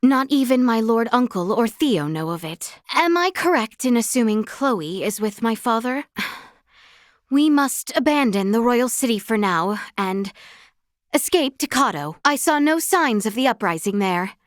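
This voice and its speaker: A woman's voice: on the high side, animated, a little slow, no echo. A woman playing a young princess in a video game, speaking in a formal, courtly and earnest way, recorded dry in a studio. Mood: determined. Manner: formal, courtly, archaic phrasing. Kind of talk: scripted video game voice acting.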